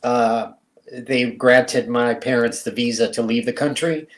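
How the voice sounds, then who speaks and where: A middle-aged man born in Cuba, a guest telling family stories in a relaxed, easy way and laughing often. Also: mid-range voice, unhurried. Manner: relaxed storyteller, laughs easily. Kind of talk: casual interview chat.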